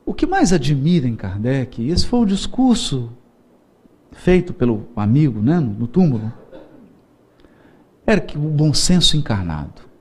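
A man giving a religious lecture, humorous and animated, repeating key words for emphasis. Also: mid-range voice, a little slow, long pauses, husky. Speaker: Spiritist lecturer.

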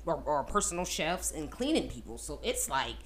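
Woman, 30s, animated swooping delivery, emphatic casual podcast talk. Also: sits low, normal speed. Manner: expressive, emphatic, says "honey" often. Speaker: podcast co-host. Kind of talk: casual chat.

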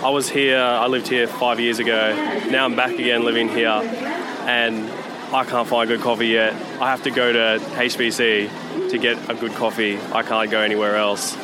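A man's voice: mid-range voice, flat delivery, moderate pace, background noise. A man from Australia, talking casually and bluntly as an aspiring café owner. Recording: loud crowd around.

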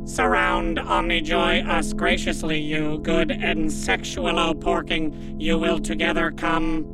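Alien commander, played by an actor, monotone, unhurried, formal scrambled English, lewdly stately, music loud behind. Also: medium pitch, close to an everyday voice, low-quality recording. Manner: slow, formal, oddly scrambled word order. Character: alien spaceship commander speaking garbled, lewd broken English. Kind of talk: comedy audio drama acting.

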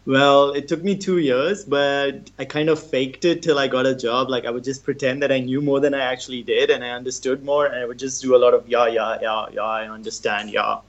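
A young man from India, a guest in a casual interview, relaxed and chatty, laughing often. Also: mid-range voice, brisk, few pauses, highs cut off.

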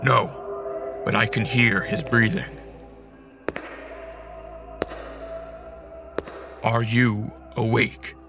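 An actor playing a calm, commanding vampire count in a radio drama, with formal, restrained delivery. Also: male voice, mid-range voice, slow pace, vocal fry, music in the background. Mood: watchful.

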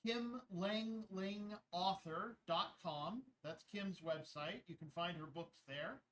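A man hosting a casual podcast chat, rambling with many filler pauses as he wraps up. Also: high-pitched, a bit bright, a little hiss or hum. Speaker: podcast host.

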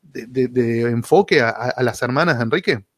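A man's voice: medium pitch, brisk, dry recording, studio quality. A man with an Argentine accent asking questions in a conversational interview.

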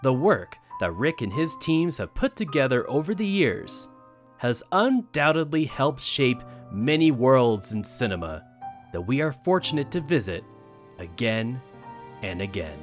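A man's voice: medium pitch, sing-song, measured pace. A man hosting a show, reading a scripted closing narration. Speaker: host. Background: music.

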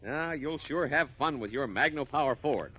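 A man's voice: mid-range voice, normal speed, sharp tone, husky. A man announcing 1950s radio advertising in an upbeat, salesy way. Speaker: commercial announcer. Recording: dull old radio recording, faint music.

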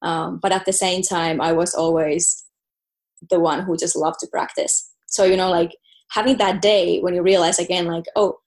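A young woman with a Finnish accent talks as a guest on a podcast, her voice sitting a little low for a woman. She chats loosely and keeps reaching for filler words, restarting her sentences as she goes. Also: fairly even intonation, normal speed, darkish tone. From Finland. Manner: chatty, many "like" fillers and restarts. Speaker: guest, professional volleyball player. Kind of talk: casual interview chat.

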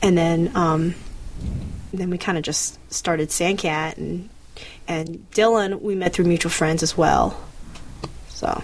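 A woman's voice: fairly low pitch, fairly even intonation, slow, a little hiss or hum, rough recording. A woman singer being interviewed, chatting casually with lots of laughs, fillers and hesitations, just getting over laryngitis. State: recovering from laryngitis. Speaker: guest singer.

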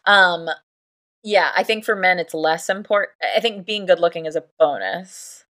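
Lively, laughing woman podcast co-host. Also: on the low side, normal speed. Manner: animated storyteller, laughs often, acts out quotes. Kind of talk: casual chat.

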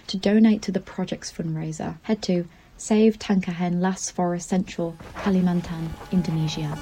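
A woman's voice: fairly low pitch, steady tone, normal speed, warm tone. A woman reading a written article aloud.

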